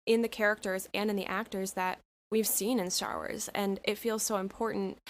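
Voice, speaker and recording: A woman co-host with a mid-range voice asks a guest long questions, pausing and circling back as she goes. Her delivery is casual and unhurried. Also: medium pitch, flat delivery. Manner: rambling, hesitant questions with fillers. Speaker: podcast co-host. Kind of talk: interview questions.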